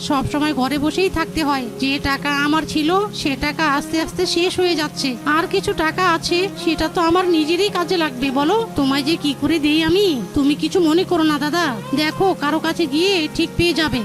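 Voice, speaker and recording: A woman voicing a stingy, two-faced male bird neighbour in a cartoon, smooth-talking and grudging. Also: high-pitched, fairly quick, over music, close to an everyday voice. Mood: feigned regret. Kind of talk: Bengali cartoon voice acting.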